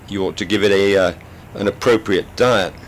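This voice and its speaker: A brash young criminal, as a male reader puts him on talks back in a cocky, offhand way. The voice sits very low. A faint hum of room noise lies behind the reading. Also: fairly even intonation, moderate pace, close to an everyday voice. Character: blunt defiant young male petty crook.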